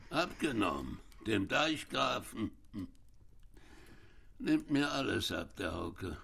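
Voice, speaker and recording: Ein Schauspieler spielt in einem Hörspiel einen müden, grüblerischen alten Deichgrafen und misstrauischen Vater mit rauer Stimme. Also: male voice, lowish voice, big pitch swings, slow, creaky, pushed and bright, slightly rough, exaggerated voice. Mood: suspicious, brooding. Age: older. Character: weary, brooding old dike master and suspicious father. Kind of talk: radio play acting.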